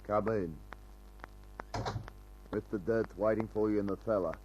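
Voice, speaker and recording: A muffled old radio recording with faint hum carries a huge butler, acted by a man. His low voice is blunt and dutiful, giving short, deferential replies. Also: steady tone, unhurried, lightly acted. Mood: stolid, dutiful. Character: huge, dim, dutiful male butler-henchman. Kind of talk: old-time radio detective drama acting.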